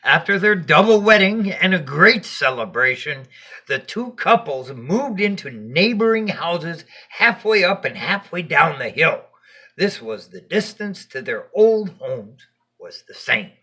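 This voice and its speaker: Slow, animated man reading a bedtime story. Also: on the high side, slightly rough. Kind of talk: expressive bedtime story narration.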